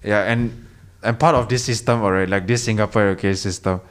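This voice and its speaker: A man's voice: fairly low pitch, steady tone, fast talker. Hesitant young man in casual chat. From Indonesia. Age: young adult. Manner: hesitant, many restarts and fillers, lots of "yeah". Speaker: podcast guest.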